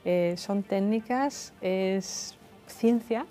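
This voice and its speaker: A woman, explaining technology clearly in an interview. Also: mid-range voice, very slow, warm tone, faint music behind. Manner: articulate, explanatory. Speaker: tech expert. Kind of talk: interview answers.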